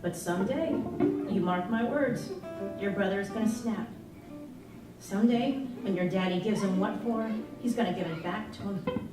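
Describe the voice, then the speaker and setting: A woman voicing a knowing mother who gives quiet, foreboding warnings in a story reading. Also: medium pitch, normal speed, lightly acted. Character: knowing, secretly hopeful smoking mother giving a warning. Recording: very echoey room, far off, music behind.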